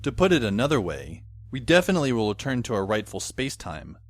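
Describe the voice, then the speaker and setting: Wry, lively teenage schoolboy narrator, played by a man. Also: mid-range voice, fast talker. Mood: startled, reasoning. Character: wry, brooding teenage schoolboy narrating his own story. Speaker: lead actor and narrator. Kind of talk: first-person audio drama narration. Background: faint background music.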